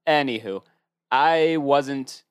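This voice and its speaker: A man's voice: mid-range voice, takes it slowly, clean tone, no echo, studio quality. A man co-hosting a casual podcast, rambling through his opinions with many restarts. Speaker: podcast co-host. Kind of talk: casual chat.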